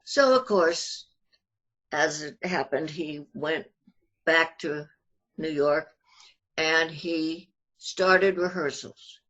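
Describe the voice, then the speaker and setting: An older woman being interviewed, telling family anecdotes conversationally in a halting but animated, storytelling manner, on muffled audio. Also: low voice. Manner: halting, animated retelling with acted-out quotes.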